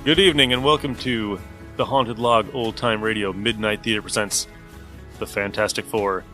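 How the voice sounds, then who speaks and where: A man's voice: fairly low pitch, steady tone, moderate pace, music in the background. A man hosting a podcast, giving a casual introduction. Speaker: podcast host.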